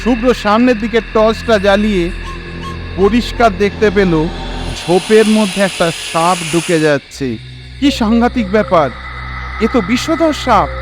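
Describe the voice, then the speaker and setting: A man narrating a scripted horror story in an expressive, suspenseful, dramatic way. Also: sits high, unhurried, music in the background.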